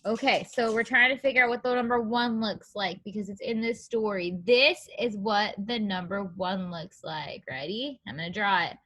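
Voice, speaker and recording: A woman's voice: medium pitch, fairly even intonation, normal speed, hardly any pauses, pushed and bright. A woman preschool teacher reading aloud to young children, asking questions and counting in an encouraging, sing-song way with simple phrasing.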